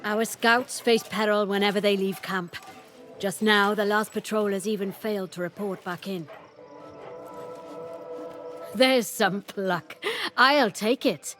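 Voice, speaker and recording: A woman's voice: mid-range voice, slow pace, long pauses, fairly bright tone, soft background music. An actress playing a skeptical, hard-pressed woman captain in a fantasy video game, speaking in a dry, formal, commanding way. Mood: grave, worried. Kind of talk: dramatic video game character acting.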